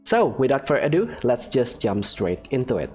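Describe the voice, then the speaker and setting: A woman's voice: a bass voice, very animated, fairly quick, darkish tone, faint music behind. A woman casually introducing her fan dub of a game, with fillers and a rambling manner. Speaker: game narrator and fan dubber.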